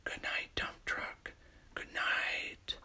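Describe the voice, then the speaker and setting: A middle-aged man reading a children's picture book aloud in a gentle, unhurried bedtime manner, with a husky, creaky voice. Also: whispered. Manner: gentle, unhurried bedtime delivery with hushed refrains. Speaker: storytime reader.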